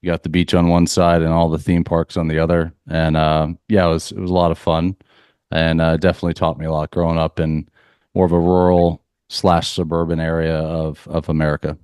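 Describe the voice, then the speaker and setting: A man from Central Florida, a leadership coach for athletes, talking calmly and earnestly in a reflective podcast interview. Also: extremely low pitch, brisk, smooth, dry recording, clean studio sound. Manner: calm, earnest, spiritual phrasing.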